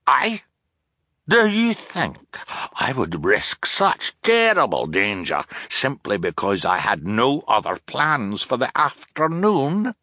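A man's voice: fairly low pitch, pushed and bright, no room sound, clean studio sound, pitched above the performer's own voice, heavily acted. A man voicing a frantic, bombastic submarine captain in an audiobook, talking in urgent, exclamatory, repetitive bursts. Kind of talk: audiobook character voice.

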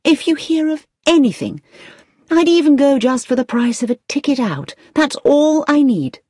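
Storybook young female job-seeker, played by a woman narrator: eager, determined, excitable, high-lifted and lively. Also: normal speed, no room sound, studio quality. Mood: eager, pleading. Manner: pleading, excitable, emphatic.

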